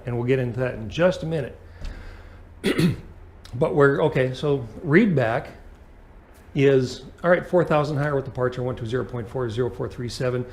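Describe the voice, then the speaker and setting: A man's voice: medium pitch, a little mellow. A man teaching an aviation lesson as a flight instructor, explaining things and checking understanding with short questions.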